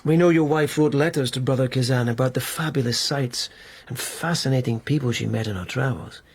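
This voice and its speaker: A cool court investigator, husky, patient, needling; radio play. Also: male voice, mid-range voice. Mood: probing. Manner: measured, probing, quietly provocative. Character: shrewd young lieutenant, probing investigator. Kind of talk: British radio drama acting.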